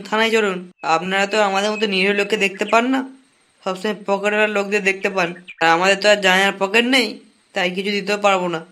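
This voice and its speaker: Comic cartoon casting: male actor as hapless jilted young man, high-pitched, whiny, sarcastic, crude-mouthed. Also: bright, forceful tone. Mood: defiant, angry. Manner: whining, sarcastic, drawn-out lamenting. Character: wronged young lovelorn man, foul-mouthed, whiny, jailed. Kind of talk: comic cartoon dialogue in colloquial Bengali slang.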